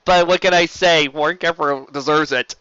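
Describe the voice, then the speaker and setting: A man's voice: on the high side, fairly even intonation, moderate pace, pushed and bright, clean tone, dry recording, highs cut off. A man reading science fiction aloud as an amateur audiobook narrator, in a dramatic storytelling style.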